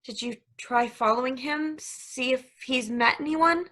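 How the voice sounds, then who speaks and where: A woman's voice: high-pitched, measured pace, bright, forceful tone, heavily acted. A woman reading the part of an emotional, hesitant, guilt-ridden young woman in a stage play. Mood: soothing, guarded.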